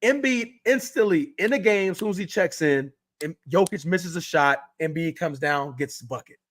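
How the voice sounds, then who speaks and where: A man co-hosting a casual sports podcast, animated and emphatic, repeating himself, slightly worn voice. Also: a little high, moderate pace. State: losing his voice. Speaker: podcast co-host.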